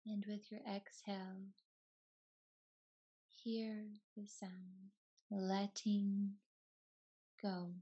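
A woman yoga teacher leading a guided meditation in a soothing, unhurried way, in short phrases with long pauses between them. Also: mid-range voice, level tone, warm tone, husky, lo-fi sound. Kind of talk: slow guided meditation narration.

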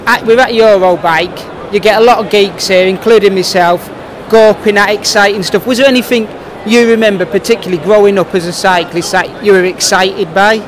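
A man's voice: high voice, fairly even intonation, brisk. A man asking questions as an interviewer in a casual, chatty style. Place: indoor trade show. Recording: noisy trade show hall, background ambience.

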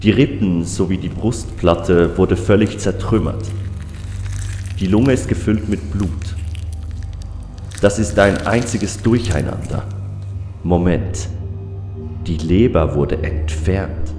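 An actor playing a weary, troubled coroner in a German audio drama, speaking in a deliberate, brooding, clinical way. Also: male voice, deep voice, mellow. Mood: clinical, puzzled. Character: weary, troubled male small-town coroner. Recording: echoey hall reverb, music behind.

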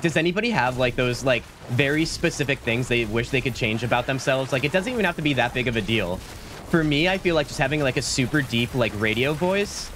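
A man in his thirties streaming a game from a small home room on a desk mic, chatting casually and rambling, his voice a little deeper from being sick. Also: a bit bright, a little hiss or hum. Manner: laid-back, rambling, says "like" and "dude" a lot. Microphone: studio mic at desk, webcam framing. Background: game music.

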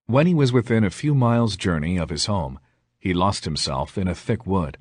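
A man's voice: sits low, expressive, normal speed, darkish tone, slightly rough, dry recording. A man reading a fairy tale as scripted narration, in the role of a storyteller.

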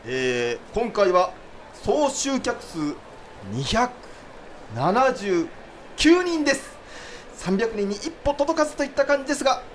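An actor playing a theatre company staffer making a formal, projecting speech at a cast party in a radio drama. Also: male voice, extremely high pitch, bright, forceful tone, a little hiss or hum, exaggerated voice.

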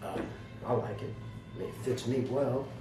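A slightly hoarse man giving a casual, folksy product review straight to his viewers. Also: mid-range voice, moderate pace, music in the background. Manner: folksy, colloquial, addressing viewers directly. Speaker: product reviewer, channel host. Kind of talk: casual chatty holster video review. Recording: roomy, distant mic with loud background noise.

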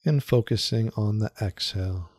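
A man leading a guided meditation in a soothing, unhurried manner with long pauses and repeated phrases. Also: on the low side, a little mellow, slightly rough, no room sound. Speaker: meditation guide, yoga teacher. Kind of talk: guided meditation, calm instruction.

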